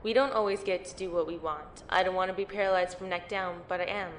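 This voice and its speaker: A woman's voice: fairly low pitch, fairly even intonation, fast, a little hiss or hum, natural voice. A woman playing a tough, no-nonsense lieutenant in an amateur audio drama, blunt and matter-of-fact. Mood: stern. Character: tough, no-nonsense female lieutenant in powered armor. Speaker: voice actor playing a military lieutenant.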